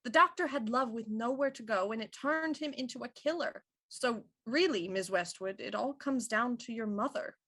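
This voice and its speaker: Shrewd female Victorian inspector, higher-pitched, deliberate and theatrical, for an audio murder mystery. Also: lively intonation, a bit bright. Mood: coolly explaining.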